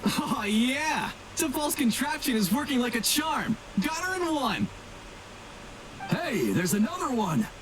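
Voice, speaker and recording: High-pitched cowardly game henchman. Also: sing-song, takes it slowly, background noise, exaggerated voice. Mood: cocky. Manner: stammering, blustering, pleading. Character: cowardly young male poacher henchman, cocky then panicky. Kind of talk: video game voice acting.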